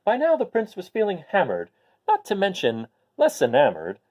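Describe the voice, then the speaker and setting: A man reading a rhyming picture book aloud to young listeners in an expressive way. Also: sits high, moderate pace. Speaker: children's story reader.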